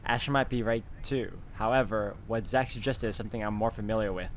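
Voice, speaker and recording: A man's voice: lowish voice, brisk. A man hosting a game walkthrough, reading story text aloud and commenting casually.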